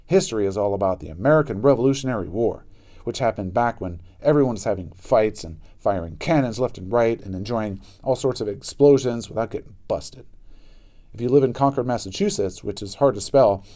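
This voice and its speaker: A man narrating a children's book aloud in an expressive style with dramatic emphasis. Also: medium pitch. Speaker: storybook reader.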